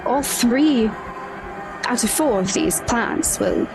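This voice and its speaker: A woman's voice: on the high side, expressive, slow pace, over music, pitched above the performer's own voice, poor audio. A woman playing a proud young woman, clever and a little smug, who speaks in prim, formal words in a tabletop roleplay. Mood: proud, excited.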